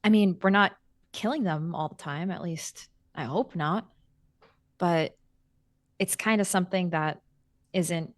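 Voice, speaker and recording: A woman's voice: fairly low pitch, measured pace, pauses often, dry recording, clean studio sound. A woman playing a tabletop roleplaying game, improvising casual in-character talk in a hesitant, tentative way.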